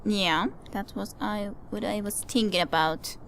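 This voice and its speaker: A woman's voice: medium pitch, steady tone, measured pace, a bit bright, a little hiss or hum. A woman giving casual game commentary, musing aloud hesitantly and repeating her words. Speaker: let's play commentator.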